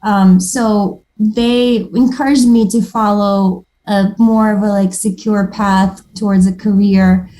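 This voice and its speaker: A woman's voice: mid-range voice, fairly even intonation, slow, hardly any pauses, warm tone, rough recording. A woman from Moldova whose first languages are Moldovan and Russian, chatting as an interview guest in an upbeat, restarting way. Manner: chatty and upbeat, with frequent restarts and little laughs. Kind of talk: casual interview.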